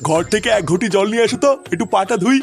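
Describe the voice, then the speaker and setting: A man's voice: high-pitched, fast talker, faint music behind. A man voicing a grumpy, foul-mouthed old father and grandfather in a comedy cartoon, scolding and complaining in an earthy way. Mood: weary complaining.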